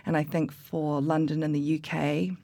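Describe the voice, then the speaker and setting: A woman's voice: low-pitched, flat delivery, normal speed, mellow, no echo. A woman from New Zealand, a coffee expert, chatting casually in an interview with lots of fillers and restarts.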